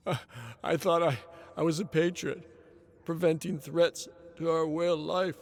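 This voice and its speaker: Man playing guilt-ridden drugged agent, high strained pitch, halting stammer, frightened confession, audiobook drama. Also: steady tone. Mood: anguished, frightened. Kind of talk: dramatic audiobook character acting.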